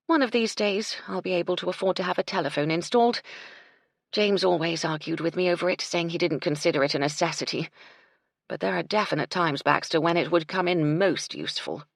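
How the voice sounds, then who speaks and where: A woman voicing a gracious, shrewd lady proprietor who speaks politely with a gently wry edge. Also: lowish voice, fairly quick, gravelly, no echo, studio quality.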